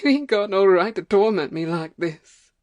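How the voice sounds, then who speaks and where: A woman's voice: medium pitch, lively intonation, moderate pace, darkish tone, close to an everyday voice. A woman playing a weary, stubborn, ignorant farm wife with a rural American accent, scolding in folksy dialect in an audiobook play. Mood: indignant. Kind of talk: amateur audiobook play acting.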